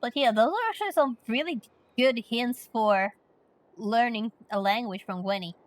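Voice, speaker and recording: Chatty Finnish woman streamer, higher voice. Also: moderate pace, no room sound. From Finland. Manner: chatty and playful, musing aloud. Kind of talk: casual game commentary, reading questions aloud.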